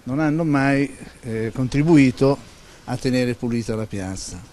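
A man's voice: medium pitch, measured pace, dark tone. Older man, blunt opinions. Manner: plain-spoken, opinionated. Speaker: local resident. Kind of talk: street vox pop answer. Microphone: handheld interview mic. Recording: faint background ambience.

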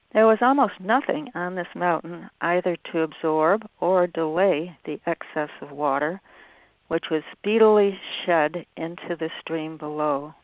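A woman's voice: low voice, no room sound. A woman, a wildlife biologist, reading literary narration aloud. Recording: tinny phone-like audio.

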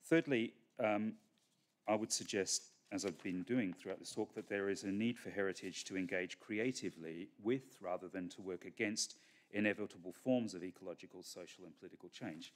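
A middle-aged man speaks at a podium mic in a large conference hall, his voice low and deep. He lectures in a measured academic way, often pausing on fillers and restarting his phrases. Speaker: keynote speaker. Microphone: podium microphone.

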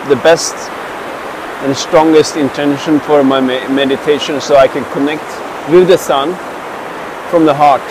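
A Swedish-accented man in his thirties talks to the camera in a slow, hesitant way, pausing often and restarting his sentences. His voice sits a little high for a man. A river rushes loudly behind him outdoors. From Sweden. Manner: slow, halting, many fillers and restarts. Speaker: traveler and meditation practitioner. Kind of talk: casual spoken account to camera. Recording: rushing river noise behind.

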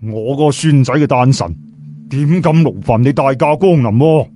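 A man's voice: medium pitch, moderate pace, mellow, natural voice. Man playing a jovial, deferential middle-aged businessman. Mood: delighted, deferential. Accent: Cantonese. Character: jovial, stout, deferential businessman around fifty.

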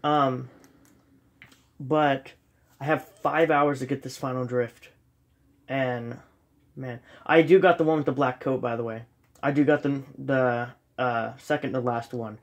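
A man's voice: mid-range voice, steady tone, measured pace, long pauses. A young man with a slight cold, casually commenting while he plays a game at home, rambling and self-deprecating with many ums. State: sniffly with a cold. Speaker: gaming channel host. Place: dark room at home, filming a TV screen.

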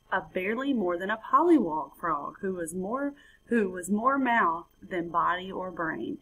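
A woman's voice: on the low side, a bit bright, husky, poor audio. A woman in her thirties reading a children's story aloud expressively, recorded at home on a computer mic. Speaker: storybook reader. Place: indoor room at home. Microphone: webcam or laptop mic.

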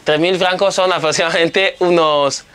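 A man's voice: high-pitched, steady tone, normal speed, a bit bright. Chatty young man vlogging on the go. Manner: chatty, upbeat, addresses viewers as family. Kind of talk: casual travel vlog narration to camera. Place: outdoors at a beach resort and a busy market. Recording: music bed underneath.

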